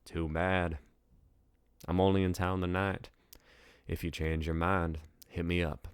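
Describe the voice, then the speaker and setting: A cocky male tourist from an audiobook-style story, acted by a man, casual and flirtatious. He coaxes in a low voice. The sound is dry and close. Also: little pitch movement, a little slow, clean tone, dry recording. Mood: cajoling, flirty. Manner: casual, cajoling.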